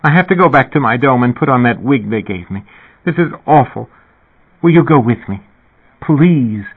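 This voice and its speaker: Audiobook role: ailing young woman played by a man, raised pitch, pleading, narrow-band sound. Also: lively intonation, normal speed, husky. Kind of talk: audiobook character dialogue.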